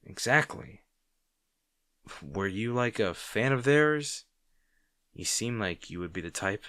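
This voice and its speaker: A man acts out a male supervillain rival in an audio roleplay, speaking straight to the listener. His voice sits low and is recorded very dry, with no room around it. He is sardonic and teasing, with a hidden caring streak that makes him halting when flustered. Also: animated, a bit bright, no echo. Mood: softer, concerned. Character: snarky male supervillain rival, secretly worried about his hero. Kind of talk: dramatic roleplay monologue addressed to the listener.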